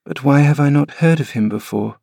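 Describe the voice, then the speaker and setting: A man's voice: medium pitch, a little fast, creaky, mellow, dry recording, clean studio sound, pitched above the performer's own voice. A man reading aloud, voicing a fretful, sickly young boy who asks plaintive questions.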